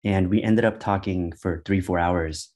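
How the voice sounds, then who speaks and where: A man's voice: low voice, fairly even intonation, a little slow, a little mellow. A congested man with a cold speaking hesitantly over a video call, with many ums and restarts. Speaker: guest Bible teacher. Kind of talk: casual testimony.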